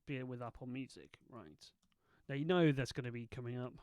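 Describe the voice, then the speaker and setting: A man hosting a video, casually thinking aloud as he tests software and mutters asides to himself. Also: medium pitch, brisk, smooth, dry recording, studio quality. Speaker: video host testing software.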